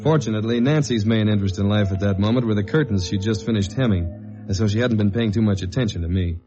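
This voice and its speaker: Casting: male actor as understated American G-man, low register, hard-boiled radio drama delivery, dull vintage sound. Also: fast, close to an everyday voice. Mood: dry, reflective. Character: tall, dogged government investigator narrating his case journal. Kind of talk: 1950s radio detective drama, first-person narration and dialogue. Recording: muffled old radio recording with music.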